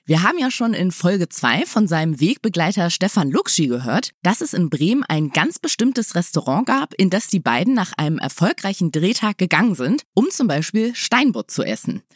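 Animated woman podcast host, dry sound. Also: on the low side, dry recording. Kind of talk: scripted introduction and casual interview.

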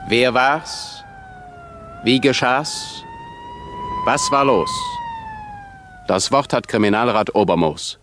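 Vintage crime show announcer, slow and dramatic, sirens and car noise behind. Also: male voice, mid-range voice, huge pitch swings. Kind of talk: 1960s radio announcing. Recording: old radio intro over sirens.

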